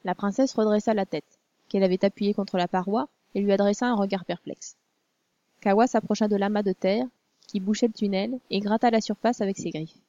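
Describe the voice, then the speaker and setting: Female narrator for storytelling; mellow, measured read, dry lo-fi sound. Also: medium pitch, steady tone, dry recording. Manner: measured storytelling, also voices the princess. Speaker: audiobook narrator.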